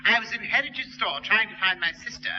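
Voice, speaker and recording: Prim, piercing-voiced hapless gentleman. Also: high-pitched, fast, full character voice. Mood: flustered, earnest. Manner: proper, formal, fussy. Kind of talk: 1960s radio sitcom acting. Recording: muffled old radio with faint noise.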